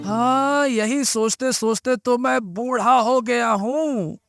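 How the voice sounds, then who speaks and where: Man as high-voiced, hesitant old cartoon farmer. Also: slow pace, fairly bright tone, faint music behind, heavily acted. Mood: pleading. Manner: hesitant, stammering, pleading. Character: poor ageing male farmer, anxious, humble, easily amazed. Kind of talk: children's cartoon story dialogue.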